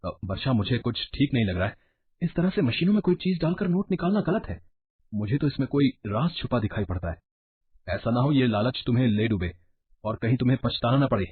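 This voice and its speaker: Man playing cautious anxious husband, very husky, animated pitch, story dialogue, lo-fi muffled audio. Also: a little fast. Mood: worried.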